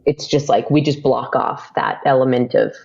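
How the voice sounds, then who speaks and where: A woman's voice: very deep voice, steady tone, normal speed, gravelly, a little mellow, dull audio. A woman chatting casually as an interview guest. She talks freely with lots of fillers and laughs often. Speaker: podcast guest.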